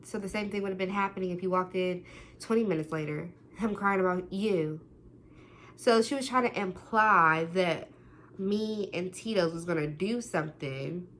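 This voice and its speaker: A young woman telling a story to the camera, talking loudly and acting out what people said. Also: lowish voice, moderate pace, slight background noise. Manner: loud, animated, quotes people in different voices. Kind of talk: casual storytime monologue.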